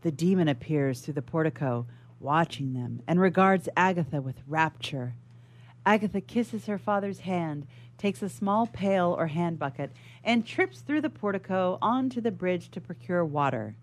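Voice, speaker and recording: A woman's voice: lowish voice, animated, moderate pace. A woman reading stage directions as the narrator of a radio theatre melodrama, in a scripted dramatic style.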